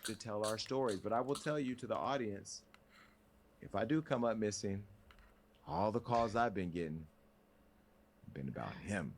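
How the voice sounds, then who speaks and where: Low-voiced, serious man over background noise. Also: lively intonation, moderate pace, pauses often. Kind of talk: earnest spoken statement to an audience. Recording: played-in clip with noisy background.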